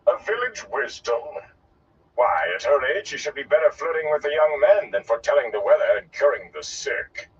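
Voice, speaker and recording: A man voicing a flamboyant, haughty gleeman in an audiobook, with a theatrical, drawn-out and declamatory delivery. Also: big pitch swings, recorded from a distance, highs cut off, slight background noise, lightly acted. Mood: dismissive. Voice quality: deep, resonant. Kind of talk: audiobook character voice.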